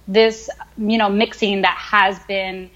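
A woman's voice: mid-range voice, level tone, slow pace, a bit bright. Chatty young woman, muffled lo-fi sound. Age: 20s. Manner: chatty, filler-heavy, thinks aloud. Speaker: interview guest, researcher. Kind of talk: casual conversation.

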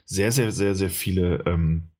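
A man's voice: sits low, unhurried, darkish tone. A man chatting casually about a game he is playing, rambling with many fillers and restarts. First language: German. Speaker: game reviewer. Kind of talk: casual podcast chat.

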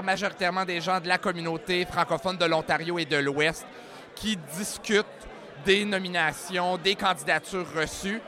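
High-voiced man explaining over crowd noise. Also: bright, forceful tone. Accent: Canadian French. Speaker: interviewee, awards jury organiser. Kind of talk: explanatory interview talk. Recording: busy crowd noise around.

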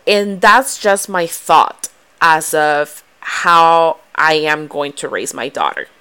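A young woman from Ecuador speaks in a low, smooth voice with a strong Ecuadorian Spanish accent. She talks earnestly to her viewers, stopping on fillers and restarts and growing more animated as she goes. Her voice stays clear and resonant. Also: slow. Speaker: vlogger. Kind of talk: personal talk to camera.

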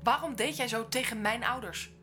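A defiant woman in her twenties in an audio drama. Her voice sits fairly high and sounds wounded and combative, and music runs clearly underneath. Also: normal speed, bright, forceful tone. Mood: angry, accusing. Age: 20s.

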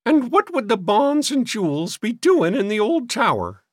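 A man voicing a sour, scornful old maiden sister in an audiobook, with a high, cracked voice. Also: very animated, moderate pace, dry recording, studio quality, exaggerated voice. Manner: sniffing, scornful, scolding. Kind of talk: audiobook character voice.

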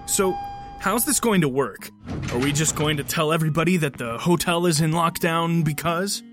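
A man's voice: a little high, moderate pace, music in the background, close to an everyday voice. An actor playing a bitter, sarcastic, foul-mouthed henchman of a ruthless boss in an audio drama. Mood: wry, sarcastic. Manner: sardonic, profane.

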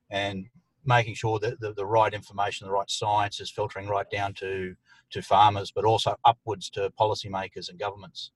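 A man, from the outback, explains technical matters as a guest expert. His voice sits low, and the sound is close and dry. He talks plainly, with "um" fillers here and there. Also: dry recording, rough recording. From far western New South Wales, Australia. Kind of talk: interview answers. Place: inside a vehicle.

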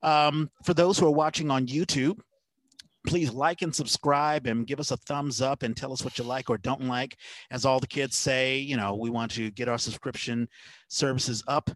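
Guy hosting a podcast, chatty n a bit halting, mid pitch, really dry sound. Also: steady tone, dry recording. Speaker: podcast host. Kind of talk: casual podcast chat.

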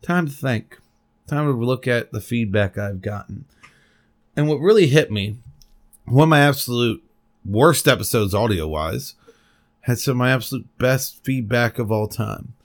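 A man hosting a solo podcast at his desk microphone, with a voice of ordinary pitch for a man. He talks in a rambling, candid way, full of passion and drifting from thought to thought. He swears casually along the way. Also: animated, moderate pace. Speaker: podcast host. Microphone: desk microphone at a computer.

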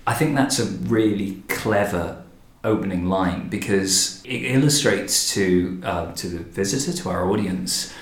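Low-voiced male host, echoey room. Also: unhurried, hardly any pauses. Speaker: podcast host and director. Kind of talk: reflective interview conversation.

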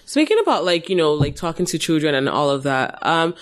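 A woman's voice: deep voice, sing-song, brisk. A woman co-hosting a podcast, who asks curious questions and keeps up frequent "mm-hmm"s. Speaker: podcast co-host. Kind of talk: casual podcast chat.

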